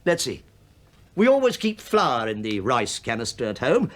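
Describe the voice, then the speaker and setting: A man's voice: medium pitch, huge pitch swings, moderate pace. An actor playing an English detective inspector in a radio play, a falsely affable, crafty middle-aged man who chats, hesitates and mock-apologises as he digresses. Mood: mock-apologetic, rambling.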